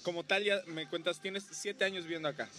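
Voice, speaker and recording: A man's voice: sits high, fairly even intonation, a little fast, bright, forceful tone. A man from Veracruz with a Mexican accent, hosting a casual podcast interview in an easygoing way and often jumping in with short replies. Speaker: podcast host, stand-up comedian.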